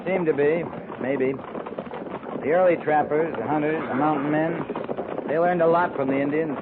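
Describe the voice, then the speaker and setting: Guy playing a seasoned american army captain in an old radio western, clipped and bossy, fairly high voice, tinny noisy sound. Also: fairly even intonation, normal speed, natural voice. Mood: grave, measured. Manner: clipped, authoritative, terse. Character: seasoned, commanding US Army cavalry captain on patrol. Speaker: radio drama actor. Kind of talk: 1950s radio western acting.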